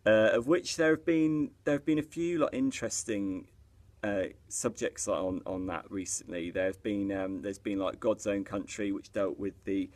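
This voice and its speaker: Young film vlog host, low voice, chatty, filler-heavy. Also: male voice, normal speed, a little mellow. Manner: chatty, laughs often, many fillers. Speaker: YouTube film review host. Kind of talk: casual film review chat.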